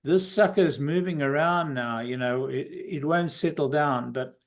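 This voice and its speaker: A man's voice: a little high, normal speed. A man giving casual market commentary as a guest, hesitant and hedging, with dry humour.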